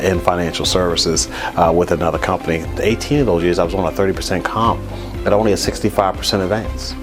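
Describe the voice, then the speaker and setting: A man and former Marine telling his career story briskly. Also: sits low. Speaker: insurance agent. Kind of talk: testimonial. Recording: background music.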